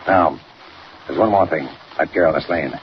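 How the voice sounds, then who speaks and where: A very low-voiced villainous factory owner in a 1940s radio serial. He gives curt, impatient orders with sly menace. The old recording is dull and noisy. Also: normal speed. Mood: scheming.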